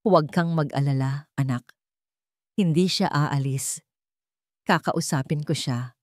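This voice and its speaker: A woman's voice: low-pitched, measured pace, dry recording, clean studio sound. A woman voicing an anguished, commanding rich businessman and father in a narrated drama story. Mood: forced calm.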